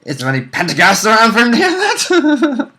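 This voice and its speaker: A man's voice: very high voice, wildly swooping pitch, fairly quick, bright, forceful tone. A man co-hosting a casual game discussion, joking and commenting in a blunt, sarcastic way. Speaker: co-host.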